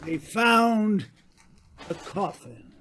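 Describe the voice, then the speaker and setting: Man playing a high, very slow suspense narrator. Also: expressive, a bit bright, full character voice. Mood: ominous, suspenseful. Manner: deliberate, dramatic pauses. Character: grave, suspenseful narrator of a mystery. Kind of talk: scripted radio drama narration. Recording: faint background noise.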